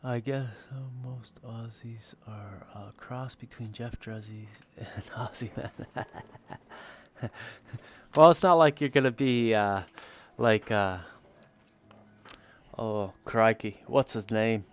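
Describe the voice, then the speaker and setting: A man's voice: fairly low pitch, slow, a little hiss or hum. A man hosting a hobby livestream, chatting casually as he works and replies to viewers. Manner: chuckles often, reads and answers chat comments. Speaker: livestream host doing scale modelling. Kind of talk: casual live chat while working.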